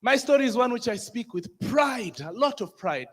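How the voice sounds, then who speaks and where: A man with a Ugandan accent, emceeing a conference from a stage mic in an energetic, crowd-rousing way. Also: very high voice, normal speed. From northern Uganda. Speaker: conference master of ceremonies. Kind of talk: lively emcee hosting a conference. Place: large conference hall.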